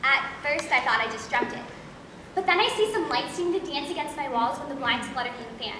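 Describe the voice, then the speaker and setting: A woman's voice: sits high, fairly quick, bright, forceful tone. A woman playing an earnest witness in a stage monologue. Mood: uneasy. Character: woman earnestly recounting being awakened at night. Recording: echoey hall, audience and noise.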